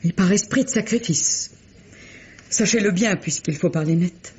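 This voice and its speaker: An actress playing a proud, anguished lady of high society, formal and tragic, in old French radio drama style. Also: normal speed, a little mellow, slight background noise, natural voice. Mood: anguished defiance. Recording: dull lo-fi vintage radio recording.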